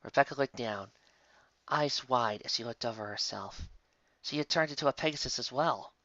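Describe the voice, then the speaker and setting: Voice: mid-range voice, normal speed, sharp tone, no echo, dull audio. A narrator reading fan fiction aloud in a dramatic, emotive way. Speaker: story narrator.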